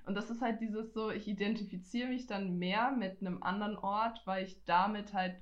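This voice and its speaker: A woman's voice: medium pitch, fairly even intonation, moderate pace. Student-age girl from nuremberg just chatting away on a podcast, outgoing, sound's a bit muffled. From Nuremberg, Franconia, Germany. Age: young adult, student age. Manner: outgoing, informal. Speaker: podcast co-host. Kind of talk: casual conversational podcast chat. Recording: dull, lo-fi podcast recording.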